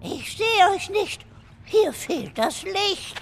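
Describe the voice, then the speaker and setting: A woman's voice: extremely high pitch, sing-song, takes it slowly, slight background noise, full character voice. A woman playing an impatient, self-important toy captain in a children's audio drama, complaining theatrically in rhyme. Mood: searching, indignant.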